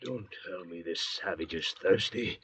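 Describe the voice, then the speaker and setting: An actor playing a bitter, suspicious, volatile thief cast away at sea, needling and sneering in 1940s radio style. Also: male voice, sits low, unhurried, bright, forceful tone, husky, full character voice. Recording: dull old restored radio recording.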